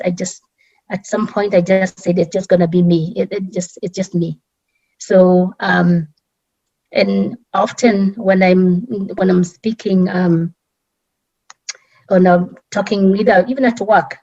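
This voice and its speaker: A middle-aged woman from Kenya with a strong Kenyan accent, speaking earnestly as a guest on a community talk panel, often pausing and restarting. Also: medium pitch, dark tone, highs cut off.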